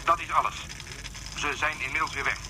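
An actor in a Dutch radio drama playing an efficient spaceship officer, giving clipped, formal reports over a radio link. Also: male voice, a little high, steady tone, brisk, pushed and bright, slight background noise, low-quality recording. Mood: businesslike.

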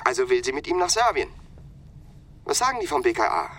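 An actor playing a worried male friend and former military pilot, speaking quietly and earnestly in a radio play. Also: medium pitch, sing-song, brisk. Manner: quiet, earnest, partly whispered.

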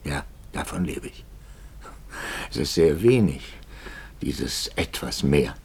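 An actor playing a troubled middle-aged priest in a 1950s radio drama, brooding and hushed, with bursts of passion. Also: male voice, extremely low pitch, expressive, takes it slowly, vocal fry, husky, full character voice. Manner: brooding, swings from hushed whispers to impassioned outbursts.

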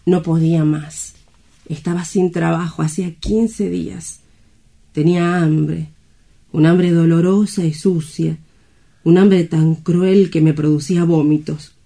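A woman's voice: on the low side, dark tone. A woman acting a frightened, desperate young woman worn down by loneliness, in dramatic radio-play style.

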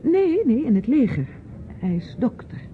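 A woman's voice: fairly high pitch, big pitch swings, unhurried. An actress playing an evasive, exasperated niece in her thirties in a radio drama, polite but hesitant and defensive. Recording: muffled, noisy old radio play recording.

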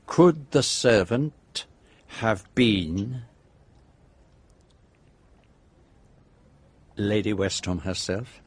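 An actor in a radio drama playing a methodical male detective who sets out a case with deliberate precision and dramatic pauses. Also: lowish voice, animated, breathy edge, no echo, heavily acted. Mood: dramatic, assured. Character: methodical, theatrical male detective revealing a murder.

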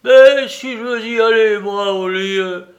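A man's voice: high-pitched, muffled recording, voice pushed up. A man voicing a stubborn, dim-witted old clerk in his sixties, indignant and whiny in a storybook reading. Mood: defiant. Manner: indignant, whiny, drawn-out exclamations. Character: cranky stubborn old male office clerk.

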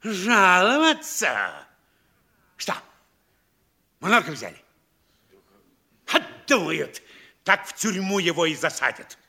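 A bully mayor in an old russian comedy play, high sharp voice, slow gloating scolding then roaring. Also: male voice, wildly swooping pitch, vocal fry, heavily acted. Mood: furious, scornful. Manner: theatrical scolding, swinging from sly quiet to bellowing. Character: bullying, gloating provincial mayor, pompous and quick to rage. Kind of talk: classic Russian stage comedy acting in an audio play.

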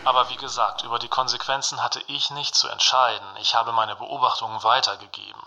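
A man's voice: medium pitch, steady tone, a little fast, bright, forceful tone, poor audio. An actor playing a self-righteous state informer in his late thirties in a satirical radio play, articulate and smug as he justifies himself. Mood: defensive, smug. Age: late 30s.

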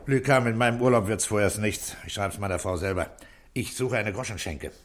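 An actor playing a gruff, unhurried middle-aged police inspector in a 1960s radio play, speaking tersely and dryly. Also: male voice, on the low side, slight background noise, lightly acted. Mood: businesslike. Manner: terse, dry, commanding.

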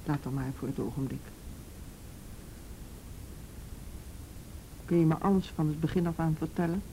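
A woman playing a calm, middle-aged counsellor in a radio drama, patient, direct and matter-of-fact. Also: deep voice, quick pace, slight background noise, poor audio. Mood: gentle, probing. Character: calm, firm female counsellor questioning a girl. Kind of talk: naturalistic radio drama acting.

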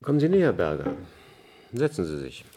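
Low-voiced, authoritative official. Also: male voice, wildly swooping pitch, fairly quick, warm tone, slight background noise. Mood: reproachful, patronising. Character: authoritative male senior official of an underground survivor community. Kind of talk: German science-fiction radio drama acting.

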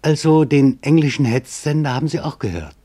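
A man narrating a scripted radio documentary in a sober, deliberate manner. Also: fairly high pitch, lively intonation, mellow.

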